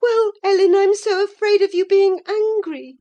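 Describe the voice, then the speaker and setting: A high, breathless teenage girl from a classic storybook, as a woman narrator puts her on. She pleads and confesses in an earnest rush, her voice lifted well above the reader's own. The delivery stays slow and careful. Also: dark tone. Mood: tearful.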